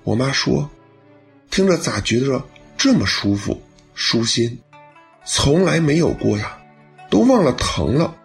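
Earnest grateful son in a radio drama, played by a male actor, lively pitch swings, music underneath. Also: measured pace, vocal fry. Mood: recounting. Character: grateful earnest son recounting his mother's recovery. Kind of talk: dramatic radio play acting.

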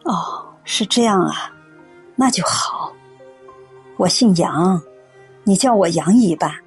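一位女演员在广播剧里饰演和善、沉稳、宽容的中年阿姨，声音带着气声。. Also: female voice, fairly low pitch, lively intonation, slow pace, slightly rough, faint music behind, full character voice. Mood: calm, caring. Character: kindly, calm, forgiving middle-aged auntie. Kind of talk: audio drama acting.

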